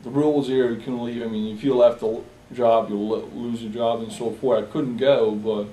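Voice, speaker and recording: A young man from Bosnia in a personal interview, speaking haltingly with many fillers and restarts. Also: medium pitch, steady tone, mellow. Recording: roomy echo, distant from the mic, faint hiss.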